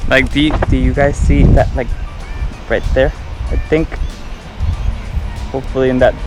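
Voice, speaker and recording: A man's voice: mid-range voice, fairly even intonation, moderate pace, over music, low-quality recording. A young man chatting casually to a camera outdoors while helping a friend. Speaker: friend helping with a radio test. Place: outdoors in a residential neighborhood.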